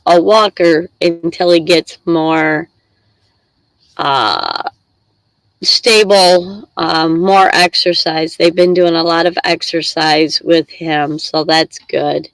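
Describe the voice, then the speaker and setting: A congested middle-aged woman hosts a casual live stream, chatting and rambling to her viewers. She talks at a slow, easy pace with plenty of pauses. The sound is dry and close, with no room echo. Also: low-pitched, fairly even intonation, a bit bright. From Michigan. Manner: chatty, rambling, reads chat aloud. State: congested with a head cold.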